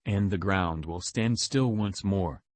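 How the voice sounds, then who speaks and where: A man's voice: deep voice, takes it slowly, darkish tone, no echo. A man playing a solemn divine son who pronounces judgement on mankind in a grave, formal, archaic manner. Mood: solemn, stern. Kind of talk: scripted apocalyptic proclamation.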